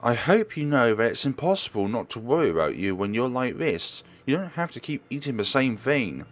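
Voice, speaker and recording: A man's voice: medium pitch, sing-song, brisk, pitched above the performer's own voice, lightly acted. A kind, nagging young woman teacher from a visual novel , read by a man. The delivery swings upward with fond scolding and motherly fuss. Faint music sits behind it. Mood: kindly fussing. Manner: scolding affectionately.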